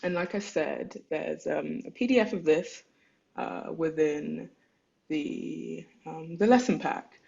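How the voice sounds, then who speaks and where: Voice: mid-range voice, takes it slowly, creaky, darkish tone. Thoughtful lecturer on a muffled call. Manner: thoughtful, searching for words, reads own letters aloud. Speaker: lecturer and artist. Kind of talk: online lecture presenting own thesis.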